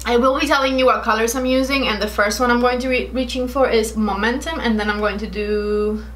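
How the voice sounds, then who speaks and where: A Cuban woman in her late twenties talks straight to the camera while doing her makeup, with a bright, fairly high voice. She is chatty and friendly and explains her story at length. Her English carries a Cuban Spanish accent. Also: fairly even intonation, brisk. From Cuba. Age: 20s. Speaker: beauty vlogger. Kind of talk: chatty get-ready-with-me Q&A. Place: bright indoor room with shelves. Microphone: camera in front at desk distance.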